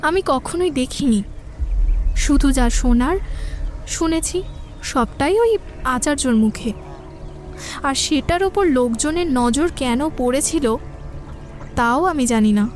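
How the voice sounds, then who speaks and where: Woman playing a high-voiced, gentle young village woman. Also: animated, slow pace, pauses often, slight background noise. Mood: grave, secretive. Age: young adult. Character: gentle, soft-natured young village woman, childhood friend. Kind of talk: audio drama acting.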